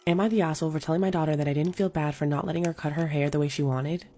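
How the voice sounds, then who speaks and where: A narrator reading first-person storytime posts aloud in an even, steady voice. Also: mid-range voice, very fast.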